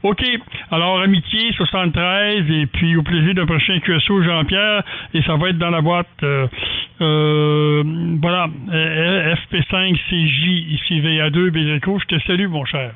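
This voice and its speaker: A man's voice: on the high side, fairly even intonation, moderate pace, dry recording, phone-quality sound. A man in his sixties with a Quebec French accent, chatting casually as an amateur radio operator. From Canada, Quebec. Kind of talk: casual ham radio chat. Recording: thin, dry band-limited radio-style audio.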